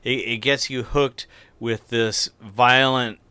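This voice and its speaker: A middle-aged American man co-hosting a casual film discussion as critic and host, halting and often restarting his phrases. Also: mid-range voice, steady tone, pushed and bright, clear, resonant tone, no room sound. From United States, near the Appalachian Mountains.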